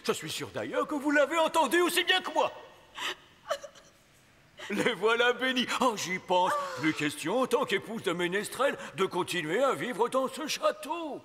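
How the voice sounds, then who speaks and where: Bossy fairy-tale king, very high voice, stubborn, declamatory, long hall reverb, music underneath. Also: very animated, moderate pace, pushed and bright, full character voice. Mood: pompous, decisive. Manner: commanding, declamatory. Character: imperious, stubborn old king, exasperated father of a princess. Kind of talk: dubbed children's fairy-tale cartoon acting.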